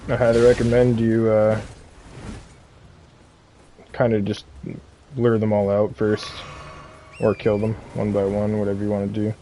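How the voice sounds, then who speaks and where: A man giving casual gameplay commentary as a streamer, laid-back and halting, often repeating himself. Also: fairly low pitch, monotone, dark tone, a little hiss or hum, poor audio. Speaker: game streamer giving a walkthrough.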